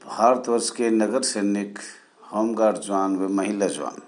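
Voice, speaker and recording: A man from India hosting a channel, explaining history in a deliberate, halting way with short phrases and formal honorifics. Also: fairly low pitch. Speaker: channel host. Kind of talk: spoken history explainer for viewers.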